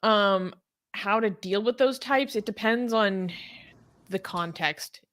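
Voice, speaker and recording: A woman reads news stories aloud and then talks them through in a casual, chatty way, with plenty of fillers. Her voice is bright, and it climbs noticeably when she gets worked up about an injustice. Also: takes it slowly. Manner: animated, rises in pitch when outraged. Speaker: co-host reading news.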